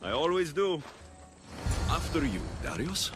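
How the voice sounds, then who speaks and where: A man's voice: a little high, sing-song, unhurried, music in the background. An actor playing a battle-hardened Greek mercenary who is a doting, playful young father in a video game drama. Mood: easygoing. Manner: playful, sing-song when talking to his baby.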